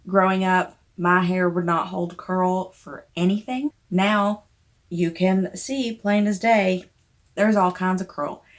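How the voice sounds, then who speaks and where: A woman in her thirties with a Southern accent and a mild trouble with the letter R, chatting casually to the camera in a rambling, self-deprecating way. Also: fairly low pitch. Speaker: novelist vlogger.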